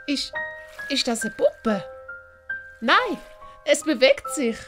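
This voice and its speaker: A woman acts out a kind little boy in an audiobook tale. The voice is high and lively, gentle and curious, with faint music underneath. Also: slow, heavily acted. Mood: curious, caring. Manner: wondering, gentle.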